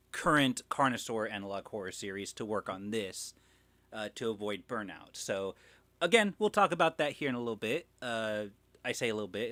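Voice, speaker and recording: A man's voice: on the low side, a bit bright, no room sound. A man hosting a livestream in casual chat, rambling and self-deprecating, with some swearing. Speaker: livestream host.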